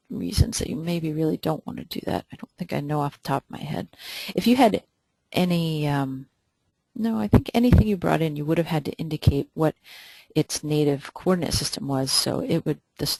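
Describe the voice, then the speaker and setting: A webinar instructor answering viewer questions during a live software demo, hesitant and thinking aloud with many pauses. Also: medium pitch, creaky, slightly rough, no room sound, low-quality recording.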